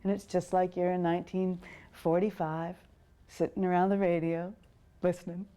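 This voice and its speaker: A woman's voice: fairly low pitch, level tone, normal speed, mellow. A woman radio theatre performer speaking casually and emphatically in an interview.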